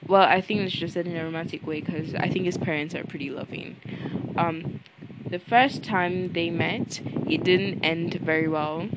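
A woman's voice: fairly low pitch, steady tone, normal speed, very noisy. A young woman in a casual book review vlog, ranting bluntly and chattily while she is losing her voice.

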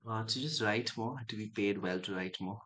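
A man's voice: fairly low pitch, moderate pace, fairly bright tone. A young man from Dubai and Chennai, a writer guest in an interview, talking in a reflective, musing way. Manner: thoughtful, musing, acts out quoted voices.

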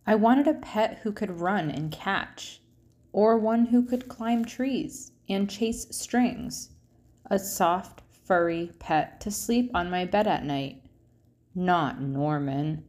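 A woman reading a children's picture book aloud expressively, with drawn-out phrase endings. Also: mid-range voice, a little mellow.

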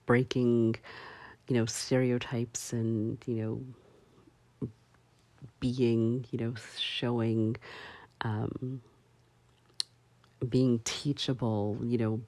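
Woman host just chatting, slow and halting, dry close sound. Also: extremely low pitch, flat delivery, mellow, no room sound. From parents from Barbados. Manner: slow, halting, many fillers. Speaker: podcast host. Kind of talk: casual solo talk.

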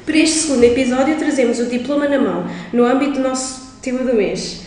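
A woman's voice: high-pitched, fast, darkish tone, recorded from a distance. A woman, an intern co-hosting a podcast and reading the opening from a script. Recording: echoey room with faint noise.

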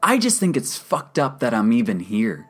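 Woman acting a loud, indignant, defiant young man. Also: a bass voice, wildly swooping pitch, normal speed, breathy edge, faint music behind, voice pushed up, heavily acted. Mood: defensive, sarcastic. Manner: profane, indignant, emphatic. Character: angry, brash young man, defiant psychiatric patient.

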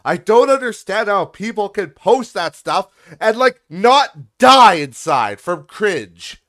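A man's voice: high voice, big pitch swings, slow, a bit bright. Nasal young guy ranting on his podcast, swears a lot, keeps restarting sentences. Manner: exasperated, profane ranting with filler and restarts. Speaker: podcast host.

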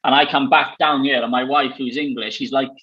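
A man's voice: medium pitch, steady tone, brisk, sharp tone. Chatty Welsh man, slightly muffled. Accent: South Wales Valleys. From Wales. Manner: chatty, many fillers, rambling. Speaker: host. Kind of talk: casual chat.

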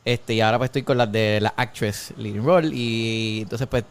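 A man's voice: on the low side, steady tone, moderate pace, smooth. A man in casual film chat, rambling and puzzled, mixing in English words.

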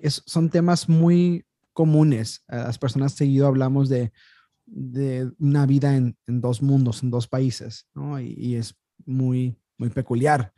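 A man's voice: mid-range voice, mellow, dry recording, studio quality. A man, a podcast guest talking casually and thinking aloud with many fillers over a video call. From Mexico. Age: 30s. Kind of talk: casual conversation.

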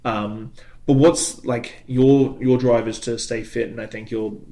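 A man's voice: medium pitch, steady tone, a little slow, warm tone. Man, thirties, casual host, rambles and chuckles a lot. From moved to Canada as an adult. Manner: rambling, laughs often. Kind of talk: casual bar-industry chat.

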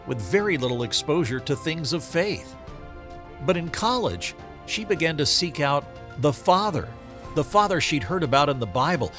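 A man hosting an interview who reads a scripted introduction with measured, deliberate delivery. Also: a little high. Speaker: host.

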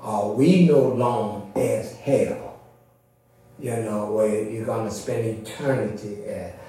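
A man explaining a story informally to a congregation, in a conversational and unhurried manner. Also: fairly low pitch, mellow. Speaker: church presenter introducing and recapping an audio drama. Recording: very echoey room, far from mic.

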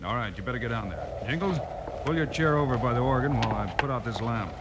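An actor playing a steady, heroic frontier marshal who speaks in a brisk, commanding way in a radio western. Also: male voice, medium pitch, lightly acted. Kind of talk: 1950s radio acting. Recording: noisy vintage radio recording.

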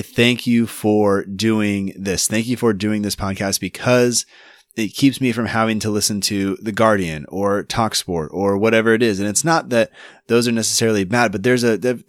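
A man in his thirties hosting a podcast, talking in a relaxed, rambling way with lots of fillers. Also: fairly low pitch, no echo, studio quality. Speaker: podcast host. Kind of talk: casual podcast interview and intro.

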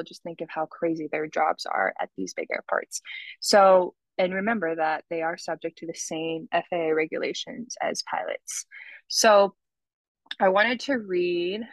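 A woman with a lowish voice talks as a podcast co-host, explaining and commenting in an informal way. The sound is dry, with very little room on it. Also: no echo, poor audio. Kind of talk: podcast commentary mixed with reading notes.

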